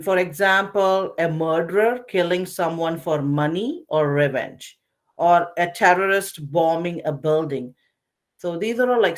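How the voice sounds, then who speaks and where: A woman presenting a slide-based nursing lecture, explaining in short phrases with pauses in a didactic way. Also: low-pitched. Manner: didactic, recapping, pausing between short phrases. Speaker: webinar presenter, nursing educator.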